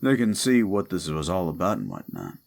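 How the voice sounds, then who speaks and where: A man playing a gruff, wisecracking, unflappable demon paranormal detective in a roleplay audio drama, with a laconic, dry delivery. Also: low-pitched, wildly swooping pitch, fairly quick, full character voice. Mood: dry, curious.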